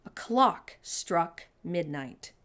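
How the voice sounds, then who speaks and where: A woman reading a children's picture book aloud in an expressive storytime narration style. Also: on the low side, extremely slow.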